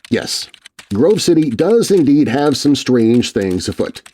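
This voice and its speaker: Male narrator, middle pitch with an animated range, expressive read of letters for a kids' scary podcast. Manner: expressive reading of letters aloud. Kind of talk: scripted storytelling narration.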